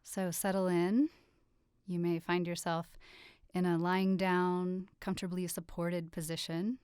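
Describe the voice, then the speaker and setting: A woman leading a guided relaxation meditation with soothing, gentle instructions and unhurried pauses. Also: fairly low pitch, flat delivery, smooth, no room sound, clean studio sound. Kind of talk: guided meditation, yoga nidra.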